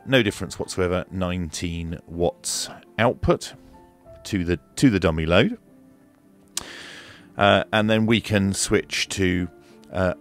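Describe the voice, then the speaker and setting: Low-voiced, slow-talking man. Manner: unhurried, matter-of-fact, hesitant step-by-step explaining. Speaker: radio reviewer and demonstrator. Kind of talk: casual product demonstration. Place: indoor room at a desk.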